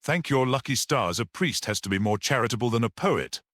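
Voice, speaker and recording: An earnest priest-detective played by a male narrator in a mystery audiobook. He explains with rhetorical fervour and a very lively, swinging pitch. The sound is close and dry. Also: fairly quick, no echo, lightly acted. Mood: earnest, wondering. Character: earnest priest-detective, gentle yet explosively passionate. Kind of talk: dramatic audiobook character reading.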